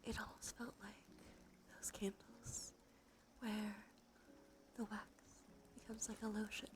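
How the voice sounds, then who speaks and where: A woman doing gentle, unhurried ASMR in a breathy whisper and soft murmur, her nose slightly stuffy. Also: medium pitch, flat delivery. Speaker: ASMR streamer, host. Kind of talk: ASMR, soft intimate role play and rambling. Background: soft music.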